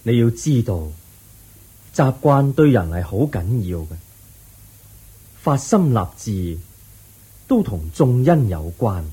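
A man playing a calm, wise Buddha. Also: mid-range voice, slow, long pauses, slightly rough. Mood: serene. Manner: calm, patient, instructive. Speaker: narrator and lead actor. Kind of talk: radio drama acting.

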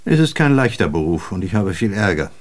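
A man's voice: deep voice, very animated, fairly quick. An actor playing a world-weary, brooding traveling salesman who narrates a literary radio play, addressing the listener directly in a rhetorical, declamatory way. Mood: melancholy, pedantic.

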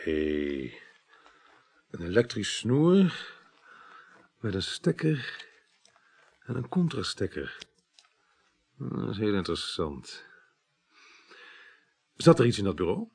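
An actor playing a courteous, probing police inspector with a quietly wry manner in a radio drama. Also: male voice, on the low side, big pitch swings, slow, gravelly, pauses often, slightly rough, exaggerated voice. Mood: courteous, pleased. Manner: courteous, repeats himself, probing.

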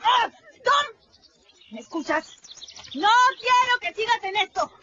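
A woman's voice: very high voice, wildly swooping pitch, slow pace, heavy background noise, exaggerated voice. An actress playing a bossy, indignant young girl in a radio drama, scolding and exclaiming shrilly. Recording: dull lo-fi radio drama, loud music.

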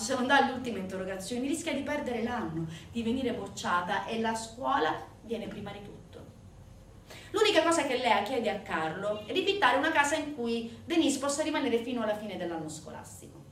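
A woman performing a dramatic theatrical monologue, intense and emphatic, swinging from hushed to loud. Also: fairly high pitch, animated, a little fast. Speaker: stage actress narrating a monologue. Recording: roomy, distant stage recording with noise.

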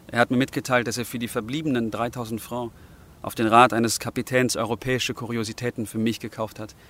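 An actor playing an earnest, tender young gentleman in love, grieving and formal, in a German radio drama. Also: fairly low pitch, slight background noise. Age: young adult.

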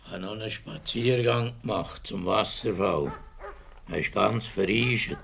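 A man's voice: lowish voice, unhurried, gravelly, a bit bright, a little hiss or hum, heavily acted. An actor playing a simple, earnest mountain farmer and father in a radio play, with a Swiss German accent. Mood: calm, earnest.

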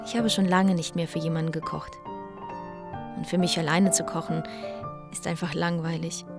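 A woman's voice: on the low side, flat delivery, moderate pace, vocal fry, over loud music. An actress in a radio drama playing a hospitable, wistful widowed mother who speaks intimately and candidly. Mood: tender.

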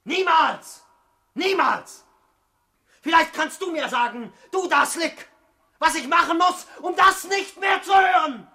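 A touchy proud young idealist in a radio play, high tense voice, well-spoken and intense. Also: male voice, slow pace, pushed and bright, raised voice, a faint crowd, full character voice. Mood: anguished outburst. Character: earnest, proud, sensitive young bourgeois party idealist. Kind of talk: German radio drama acting.

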